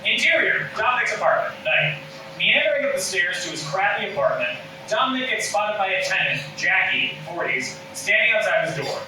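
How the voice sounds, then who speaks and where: A man's voice: high voice, big pitch swings, moderate pace. A man reading scene directions as scripted narration at a table read. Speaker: stage directions reader. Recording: roomy, distant mic with loud background noise.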